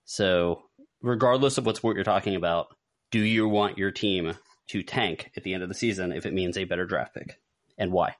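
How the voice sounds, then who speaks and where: A man's voice: low voice, moderate pace. A man hosting a podcast in a casual, conversational panel chat. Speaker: podcast host.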